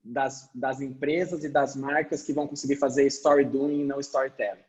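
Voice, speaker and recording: A man's voice: medium pitch, flat delivery, normal speed, a little mellow. A man speaking as a panelist over a video call, explaining animatedly with frequent fillers. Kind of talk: webinar panel discussion.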